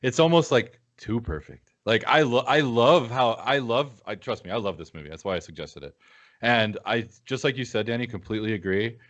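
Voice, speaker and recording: A man's voice: medium pitch, a little fast, a bit bright. A man co-hosting a podcast, chatting casually about film with emphatic, repeated phrases. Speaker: podcast co-host. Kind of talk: casual film review chat. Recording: dull, lo-fi podcast audio.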